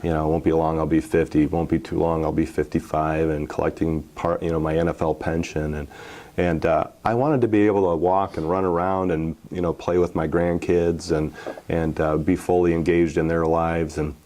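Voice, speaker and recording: A man in his forties, a former football player, telling stories from his playing days in a relaxed interview, with many fillers and restarts. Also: very deep voice, moderate pace. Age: 40s. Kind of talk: casual storytelling interview.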